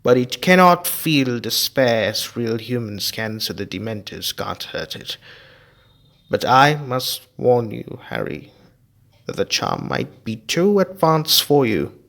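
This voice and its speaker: A man voicing a kindly adult male wizard teacher who speaks slowly and emphatically. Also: mid-range voice, big pitch swings, gravelly, a bit bright, full character voice. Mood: instructive. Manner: slow, emphatic and instructive.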